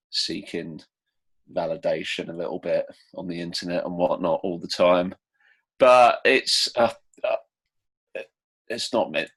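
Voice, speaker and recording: A young man speaks in a very low, rough-edged voice. He chats casually as a musician answering interview questions, modest and self-deprecating in how he puts things. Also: a bit bright. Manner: self-deprecating, laughs between phrases.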